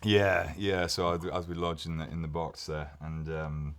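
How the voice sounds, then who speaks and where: Deep-voiced man from Wales in his thirties, slightly hoarse, chatty guest with easy laughs. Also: steady tone, moderate pace. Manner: chatty, laughing, frequent restarts and fillers. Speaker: guest dentist. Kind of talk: casual chat.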